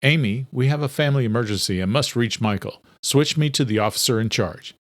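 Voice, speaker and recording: A man voicing a protective, decisive brother in a thriller audiobook, terse and steady. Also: mid-range voice, fairly quick, lightly acted. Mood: firm, controlled.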